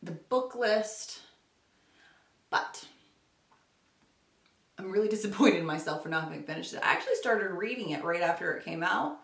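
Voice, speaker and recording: A woman's voice: fairly low pitch, lively intonation, normal speed, gravelly, long pauses, fairly bright tone. A woman chatting informally and self-deprecatingly to camera as a book vlogger. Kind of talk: casual chat to camera. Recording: roomy echo, distant microphone.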